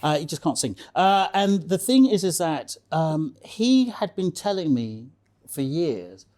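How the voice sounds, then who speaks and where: A man's voice: fairly high pitch, big pitch swings, measured pace, a little mellow. A man speaking casually as an interview guest, telling anecdotes emphatically, repeating phrases and checking in with the listener. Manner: emphatic, repeats phrases, tags statements with "okay?".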